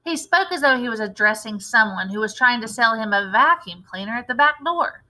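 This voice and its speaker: A woman's voice: on the high side, lively intonation, a little fast, pushed and bright. A woman narrating a children's novel aloud as a teacher would for a class.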